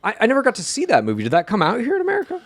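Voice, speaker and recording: A man's voice: very high-pitched, wildly swooping pitch, very fast, no room sound. A man hosting a mock talk-show interview, playfully incredulous and often breaking into laughs. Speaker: comedy podcast host playing interviewer.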